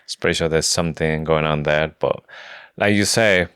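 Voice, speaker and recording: A man's voice: rumbling low, moderate pace, fairly bright tone, clear, resonant tone, dry recording, clean studio sound. A man co-hosting a podcast in casual book chat, with non-native English phrasing. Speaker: podcast co-host.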